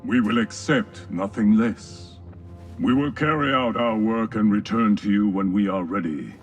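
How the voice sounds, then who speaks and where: A man acting as a cold, commanding enforcer of a secret order in a video game. His low voice comes very slowly and deliberately, set back from the mic over music. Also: distant mic, close to an everyday voice. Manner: slow, deliberate, threatening.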